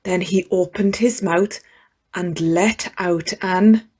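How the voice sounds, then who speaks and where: A woman reading a rhyming children's story aloud as a storyteller, expressively and with dramatic pauses. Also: fairly low pitch, steady tone, a little mellow, breathy edge. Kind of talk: scripted children's verse reading.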